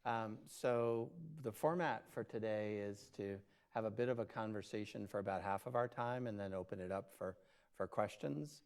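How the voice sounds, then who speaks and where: A man's voice: fairly low pitch, moderate pace. A man moderating a live conversation, posing organized questions in a friendly way. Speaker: moderator, professor.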